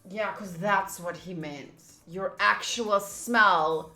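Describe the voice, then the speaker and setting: A woman's voice: medium pitch, slow, sharp tone. A woman streamer giving casual playthrough commentary on a visual novel and reading lines aloud. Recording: roomy sound with faint game music. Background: faint game music.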